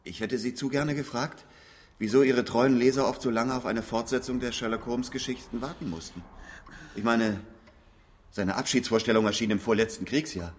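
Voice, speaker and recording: An actor playing a politely persistent, ingratiating journalist visitor in a radio drama, deferential and fawning. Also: male voice, mid-range voice, steady tone, normal speed, a little hiss or hum, natural voice. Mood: probing. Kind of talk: German radio drama acting.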